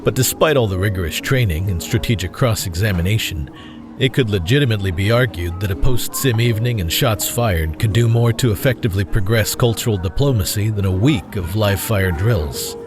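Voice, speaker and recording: A man's voice: on the low side, a little fast. A man narrating a scripted sci-fi story as its narrator. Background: music.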